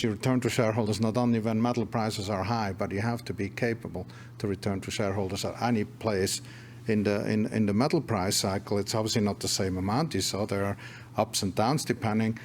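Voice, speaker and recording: A man's voice: lowish voice, darkish tone, slight background noise. A man with a voice giving earnest closing remarks at a company investor presentation, restarting his phrases often. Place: conference room.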